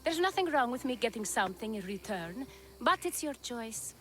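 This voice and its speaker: A vain, scheming young bride in a video game cutscene. Her voice is fairly high and her manner smug and dismissive. Faint ambient noise sits behind her. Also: big pitch swings, normal speed. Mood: self-satisfied.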